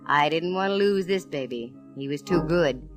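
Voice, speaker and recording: An American actress playing a sharp, plucky female private eye in a 1940s radio detective drama. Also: deep voice, moderate pace, lightly acted. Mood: cool, confident. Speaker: lead actress and narrator. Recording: vintage radio broadcast with music.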